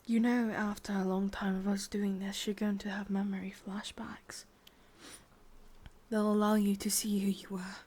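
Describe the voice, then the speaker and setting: A woman with an English accent playing a sadistic, possessive witch in a hushed, breathy ASMR roleplay, slow and coaxing. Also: medium pitch, little pitch movement, natural voice. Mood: coaxing, careful.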